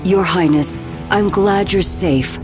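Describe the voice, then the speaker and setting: An actress playing a loyal, formal royal guard with grave composure in a video game cutscene. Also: female voice, fairly low pitch, slow. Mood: grave, relieved. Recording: music behind the voice.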